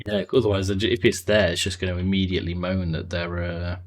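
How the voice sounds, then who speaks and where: Deep-voiced man chatting casually. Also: little pitch movement, a little fast. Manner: thinks aloud, trailing off and answering chat. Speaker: streamer. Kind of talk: casual live-stream chat while playing.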